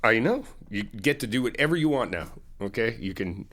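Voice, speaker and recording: Lively low-voiced man in friendly roleplay. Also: a little fast. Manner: warm, reassuring, chatty with soft laughs. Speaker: ASMR roleplay performer as a supportive friend. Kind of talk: ASMR comfort roleplay, talking to the listener.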